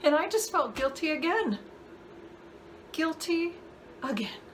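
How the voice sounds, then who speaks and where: A woman performing a dramatic monologue as a bitter, guilt-ridden mother, confessional, emotional and halting. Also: sits high, lively intonation, a little hiss or hum. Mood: resentful, guilty.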